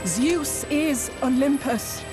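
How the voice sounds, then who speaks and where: A woman playing a noble, solemn goddess in a video game, speaking gravely and earnestly in deliberate phrases. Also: high voice. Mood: solemn warning. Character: noble, solemn goddess pleading to defend Olympus. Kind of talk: dramatic video game acting. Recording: orchestral music behind voice.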